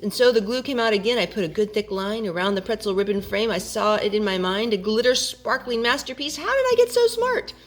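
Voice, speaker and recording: A woman hosting storytime for children, reading in an expressive storybook style. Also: medium pitch, fairly quick, few pauses. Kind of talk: expressive rhyming storybook narration. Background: music.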